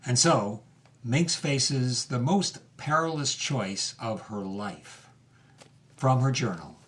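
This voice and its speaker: A man's voice: mid-range voice, takes it slowly, fairly bright tone. Male narrator of a scripted story.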